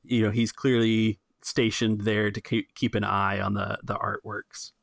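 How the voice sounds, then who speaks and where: A man's voice: lowish voice, level tone, unhurried, vocal fry, fairly bright tone, dry recording, studio quality. A man acting as game master, improvising narration and rules in a casual, conversational way. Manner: conversational, improvising, thinking aloud. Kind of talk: casual tabletop roleplay narration and rules talk.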